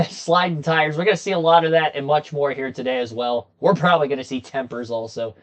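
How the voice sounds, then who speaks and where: Young man, slightly hoarse, higher voice, chatty livestream host, talking to viewers from a desk in a small room. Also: fairly quick, a bit bright. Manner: chatty, talks straight to his viewers. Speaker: racing commentary streamer. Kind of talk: casual livestream commentary.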